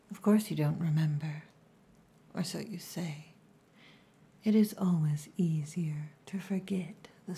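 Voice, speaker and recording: A low, hushed orc woman, performed by an actress for an ASMR roleplay. She is dominant and possessive, gruff but tender underneath. Her words come extremely slowly and deliberately, in a dark, breathy near-whisper. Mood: soft, reassuring. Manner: slow, deliberate, dominant, teasing.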